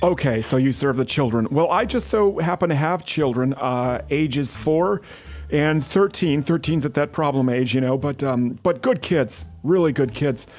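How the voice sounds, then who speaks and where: A man's voice: on the high side, lively intonation, moderate pace, a little mellow. A man making a prank phone call as an earnest-sounding aid worker, pushing persistently. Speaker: prank caller.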